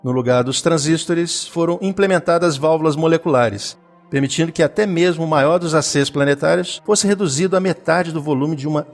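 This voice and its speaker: A man reading scripted story narration for an audio drama. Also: on the high side.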